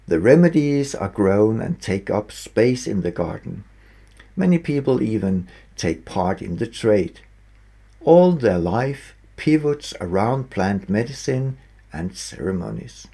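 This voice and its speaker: A man narrating his own written book as an author, with deliberate, emphatic phrasing broken into short pieces. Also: fairly low pitch, vocal fry, warm tone, breathy edge. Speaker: author reading own audiobook. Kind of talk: scripted audiobook narration.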